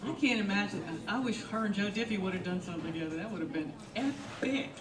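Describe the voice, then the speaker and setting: A woman songwriter bantering onstage between songs, loud and playful, often laughing. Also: mid-range voice, quick pace, a crowd in the background. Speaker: songwriter performer. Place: live music festival stage. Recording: roomy live room, distant mic.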